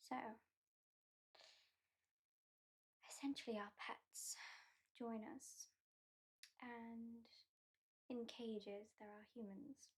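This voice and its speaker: A British woman voicing a haughty, mocking female vampire in an ASMR roleplay, soft-spoken and often whispering. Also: fairly high pitch, breathy edge, heavily acted. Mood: languid. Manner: soft-spoken, drifting into whispers, dryly sardonic. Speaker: ASMR performer.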